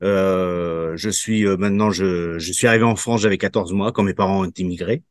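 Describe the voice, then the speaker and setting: Hesitant low-voiced man in his fifties. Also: steady tone, dry recording, studio quality. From Portugal. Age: 50s. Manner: halting, many fillers and restarts. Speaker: program participant giving a testimonial. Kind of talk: hesitant interview answers.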